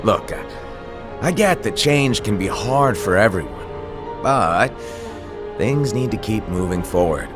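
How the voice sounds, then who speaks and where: An actor playing a playful, teasing and cryptic mentor in a theatrical, breezy way for a video game cutscene. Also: male voice, on the low side, lively intonation, a little slow, pauses often. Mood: earnest, cryptic. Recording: music behind the voice.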